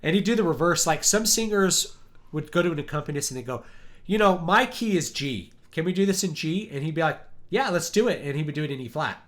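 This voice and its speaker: A man hosting a casual chat, talking in a rambling, animated way and acting out quotes, his deep voice worn because he is sick. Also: brisk. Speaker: livestream host. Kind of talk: casual chat about music.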